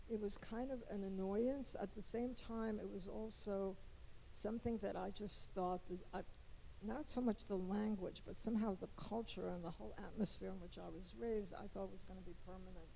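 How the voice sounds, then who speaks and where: An older Polish-born woman, speaking in a reflective, halting way with frequent restarts. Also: medium pitch, vocal fry, warm tone, slight background noise, poor audio. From Poland. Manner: halting, many restarts and asides. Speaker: poet, interviewee. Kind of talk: reflective conversation.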